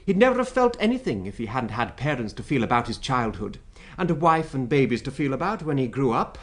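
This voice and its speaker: A male actor plays a British royal secretary in a radio drama. He is talkative and wryly amused, his mid-pitched voice swinging widely as he holds forth in emphatic, rhetorical flourishes. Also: sing-song, brisk, lightly acted. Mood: wry, reflective. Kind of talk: BBC radio drama acting.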